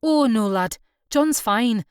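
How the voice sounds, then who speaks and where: A woman narrator plays a Scottish fishing skipper, a man in his thirties. He is brisk, steady and fair-minded in this storybook dialogue. The voice is clean and close, with a dry sound. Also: fairly high pitch, smooth, no room sound. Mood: brisk, reassuring. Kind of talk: audiobook character dialogue.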